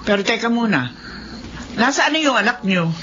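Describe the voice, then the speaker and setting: A woman's voice: on the high side, animated, moderate pace, close to an everyday voice. A woman playing a stern, worried older mother and grandmother, blunt and probing, in a radio drama. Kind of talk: Filipino radio drama acting. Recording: dull lo-fi radio, faint noise.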